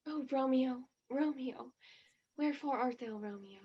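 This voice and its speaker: A woman acts the part of an eager teenage girl in a stage play. Her voice sits high, and she speaks with gushing, dramatic earnestness. The sound is a little muffled and lo-fi. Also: a little slow, breathy edge. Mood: tender, reciting. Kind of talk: one-act stage play acting.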